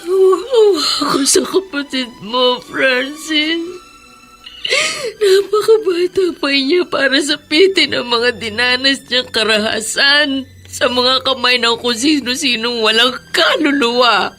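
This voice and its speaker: An anguished, protective mother speaks in a sharp, raised voice. She is middle-aged and emphatic, in heavy radio-drama style over a music bed. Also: high voice, takes it slowly, full character voice. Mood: sorrowful. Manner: emphatic, tearful. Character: anguished, protective mother of two grown children, vengeful yet devout. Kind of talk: melodramatic Filipino radio drama acting.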